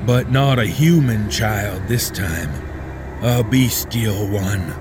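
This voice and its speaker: A man giving slow, dramatic, scripted horror narration for a podcast. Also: on the low side, noisy background. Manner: slow, deliberate, dramatic delivery. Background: music.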